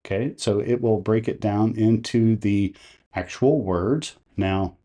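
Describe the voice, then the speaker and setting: Man, tutorial narrator, fairly low pitch, soft dark tone, instructional delivery. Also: slow pace. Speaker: tutorial host. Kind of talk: step-by-step software tutorial narration.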